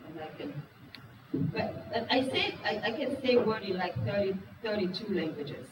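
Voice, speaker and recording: A woman's voice: medium pitch, animated, normal speed. Woman. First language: Wolof. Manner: frank and earnest, asks the audience questions. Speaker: speaker at a business talk. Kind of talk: presentation to a live audience. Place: meeting room with an audience. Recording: distant, echoey room recording with noise.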